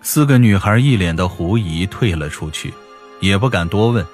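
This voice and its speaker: A man reads fiction aloud as an audiobook narrator. His voice sits low, and his delivery is scripted but expressive and dramatic, with faint music underneath. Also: moderate pace.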